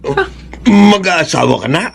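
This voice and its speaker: A man's voice: sits high, expressive, a little slow, gravelly, husky, exaggerated voice. A man with a Filipino accent playing a jovial, easily amazed middle-aged father in a radio drama. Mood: amazed, curious.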